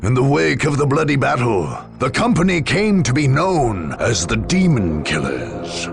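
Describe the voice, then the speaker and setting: A man's voice: mid-range voice, huge pitch swings, measured pace, creaky, faint music behind. Dramatic male game narrator with sweeping intonation. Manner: solemn, formal storytelling delivery.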